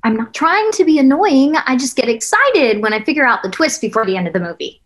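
A woman's voice: high voice, sing-song, a little fast. A woman in her thirties acting an earnest, defensive girlfriend in a naturalistic table read over a video call. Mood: animated, defensive. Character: earnest, defensive thirty-year-old girlfriend in a couple's spat. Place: small plain room, on video call.

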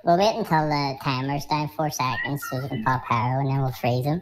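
A woman's voice: very deep voice, fairly even intonation, brisk, heavy background noise. A woman chatting casually with a group while playing an online game. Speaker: online gamer.

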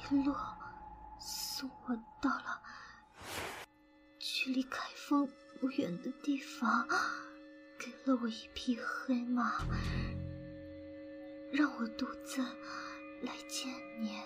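An actress voicing a wounded young woman on the run, talking in a weak, halting whisper in an audio drama. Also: high-pitched, steady tone, over music. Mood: weak, pleading. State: weak and injured, breathy.

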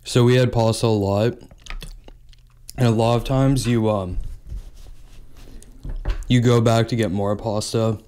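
A young man hosting a mukbang, chatting casually between bites at a table and speaking into a close mic. He talks in a relaxed way and says "like" often. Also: lowish voice, unhurried, pauses often, smooth. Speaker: mukbang host. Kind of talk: casual eating chat, mukbang ASMR.

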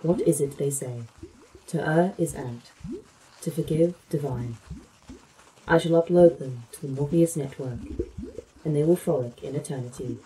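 Woman doing a brooding godlike ai for a podcast drama, really mellow dark voice, grand theatrical lines. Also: low voice, moderate pace, long pauses, slight background noise, close to an everyday voice, poor audio. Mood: solemn. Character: brooding godlike A.I. ruling tiny ant subjects. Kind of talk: audio drama acting.

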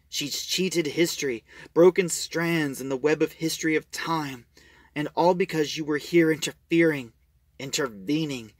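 A man acts out a brooding male time traveller from an audio drama. He muses to himself in hushed, low tones, heavy with self-reproach. The sound is close and dry. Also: slightly rough, no echo.

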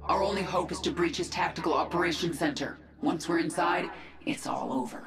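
A woman's voice: fairly low pitch, fairly quick, natural voice. Stern, echoing warrior queen played by a woman. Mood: urgent, determined. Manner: stern, commanding, terse. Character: female warrior queen of an alien swarm, stern, vengeful. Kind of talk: video game dramatic voice acting. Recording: echoing voice under game music.

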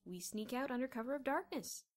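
An excitable schoolboy ringleader acted by a woman, with a high voice. He is bossy and exclamatory, a keen schemer from a children's storybook, always taking command. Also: a little fast, lightly acted. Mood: scheming.

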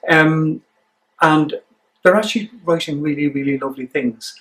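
Higher-voiced older Irish man, hesitant. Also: normal speed, a bit bright, rough recording. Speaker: theatre director being interviewed. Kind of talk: reflective interview talk.

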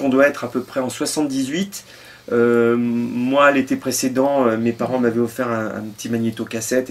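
A middle-aged French man, a guitarist, answering interview questions casually, rambling with many fillers and trailing asides in a home music studio. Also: medium pitch. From France.

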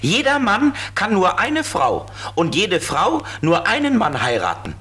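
An actor voices a little know-it-all flying creature in a children's audio drama. The delivery is playful and very animated, explaining things with cheek. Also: medium pitch, unhurried, husky, full character voice. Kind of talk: children's radio play acting.

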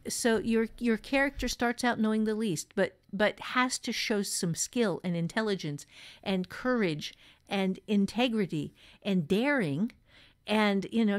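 A woman co-hosting a talk on fiction craft, explaining things in an emphatic, conversational way. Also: mid-range voice. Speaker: podcast co-host and novelist giving writing advice. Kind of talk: conversational writing advice.

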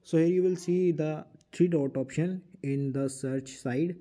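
Man with a dark, muted voice. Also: a little high, unhurried. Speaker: tutorial host. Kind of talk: casual screen-recorded app tutorial.

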